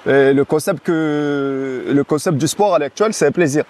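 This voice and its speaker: Relaxed, hesitant man chatting outdoors. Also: on the high side, normal speed. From French Guiana. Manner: hesitant, many restarts and fillers, relaxed. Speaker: guest. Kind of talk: casual interview chat.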